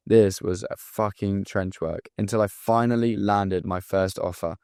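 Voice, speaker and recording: A man's voice: on the low side, fairly even intonation, unhurried, gravelly, darkish tone, clear, resonant tone, no room sound, studio quality. Brash, swearing young English man. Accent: southern English. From England. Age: 20s. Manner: blunt, profane, hyped-up motivational delivery. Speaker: sales coach. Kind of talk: direct-to-camera pitch video.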